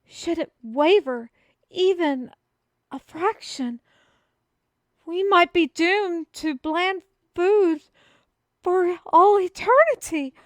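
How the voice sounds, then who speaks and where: A woman playing an excitable fantasy messenger who proclaims a prophecy to the listener in grandiose, formal, old-fashioned phrasing, as a scripted audio roleplay. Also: very high-pitched, slow, long pauses, no room sound. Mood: solemn, dramatic. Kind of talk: theatrical scripted roleplay monologue addressed to the listener.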